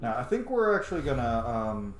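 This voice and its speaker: A man's voice: mid-range voice, very animated, a little fast, a little hiss or hum. A man giving casual, informal and opinionated gameplay commentary as a let's play host. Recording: roomy echo, loud game music behind. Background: game music and sound.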